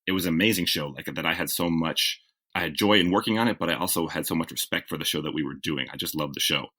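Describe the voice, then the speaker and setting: Deep-voiced man telling stories. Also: quick pace, sharp tone. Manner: reflective, self-correcting, with frequent "like" and "you know". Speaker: guest, TV writer. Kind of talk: conversational interview storytelling.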